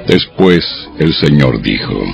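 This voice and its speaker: Male narrator for dramatized scripture; extremely deep, animated, slightly dull recording with faint music. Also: slow pace, creaky.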